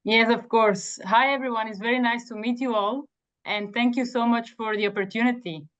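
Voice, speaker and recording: A woman's voice: on the high side, steady tone, normal speed. Belgian woman with a Dutch-speaking background, lo-fi sound. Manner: earnest, with frequent fillers and restarts. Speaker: job candidate. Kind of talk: self-introduction in an interview. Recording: dull lo-fi sound.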